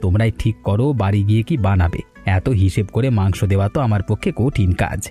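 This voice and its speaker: Man doing a quick, low, mellow-voiced cartoon butcher, chatty and teasing, light music behind. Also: natural voice. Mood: jovial, teasing. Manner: chatty, teasing, with tag "হ্যাঁ?". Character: jovial middle-aged male village butcher. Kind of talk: cartoon voice acting.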